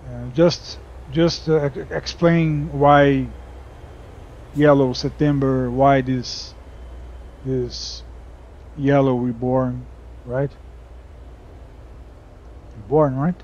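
A Brazilian man with a Brazilian Portuguese accent, chatting casually over gameplay, halting, with many fillers and restarts. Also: medium pitch, fairly even intonation, a little mellow, lo-fi sound. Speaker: gaming YouTuber. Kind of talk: casual game commentary.

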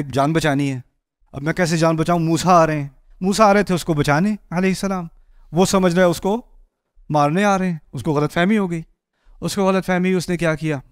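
A man in his forties preaching with animated energy, his voice sitting high for a man. He walks the stage and acts out the people in his story, and the headset mic keeps the sound close and dry. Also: dry recording. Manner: animated, gestures widely while pacing a stage. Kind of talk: sermon. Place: indoor stage before a patterned backdrop.

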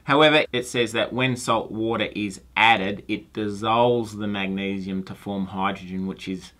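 A man's voice: on the low side, steady tone, measured pace, a bit bright. A science teacher in his forties on a phone call, friendly and earnest, often restarting his sentences. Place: small room with shelves of toys and lab gear. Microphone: phone handset held to ear, room mic.

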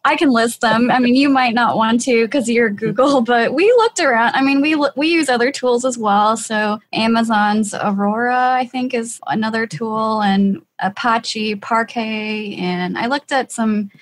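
A woman's voice: on the high side, a little slow, few pauses. A woman interviewer talking casually, with loose, hesitant phrasing. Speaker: podcast interviewer, economist.

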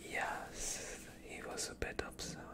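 A young man playing a polite vampire doctor in an ASMR roleplay, soothing and evasive with a sinister undertone, speaking in a hushed voice close to the mic. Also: lightly acted. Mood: calmly evasive. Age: young adult. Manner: hushed, slow, courteous, evasive. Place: quiet indoor studio, dark backdrop. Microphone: close off-screen microphone.

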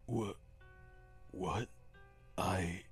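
A man's voice: low-pitched, very slow, slightly rough, full character voice. A man playing a grumpy, sardonic skeleton royal guard captain in a comic dub. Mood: subdued, wary. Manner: dry, sardonic. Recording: music behind the voice.

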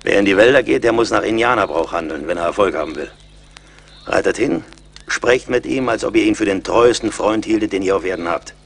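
An actor playing a seasoned, blunt and mocking frontier scout in a radio play, with a wry, commanding manner. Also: male voice, fairly low pitch, a little fast, gravelly. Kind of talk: German radio play acting.

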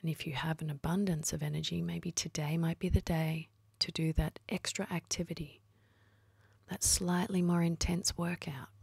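A woman leading a guided meditation in a soft, husky, breathy voice, speaking slowly and soothingly with long pauses. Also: low voice, little pitch movement, dry recording, clean studio sound.

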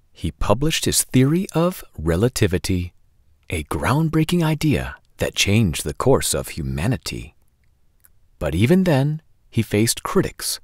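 A man in his forties hosting a children's storytime, reading aloud in an expressive, deliberate, sing-song way. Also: on the low side, no echo, studio quality. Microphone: lapel microphone.

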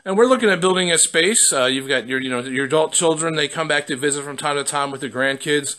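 A man's voice: a little high, fast, pushed and bright. A man working as a company representative, conducting a promotional testimonial interview from off camera in a basement.

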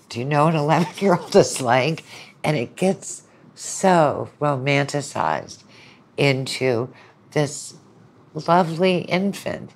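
A middle-aged woman guest, a lecturer, explaining her opinions conversationally with anecdotes and quoted asides. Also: low voice. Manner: opinionated, analytical, quotes imagined speakers.

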